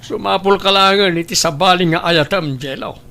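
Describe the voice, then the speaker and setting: A man acting a blunt, cynical older uncle who nags and scolds in an Ilocano audio drama, his voice gravelly. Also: high voice, normal speed, sharp tone, heavily acted. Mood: scolding, disapproving.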